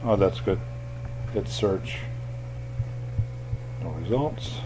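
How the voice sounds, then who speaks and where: Low-pitched man, flat and unhurried, for a screen tutorial with faint hum. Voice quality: self-described boring voice. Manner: plain, unhurried explaining with fillers.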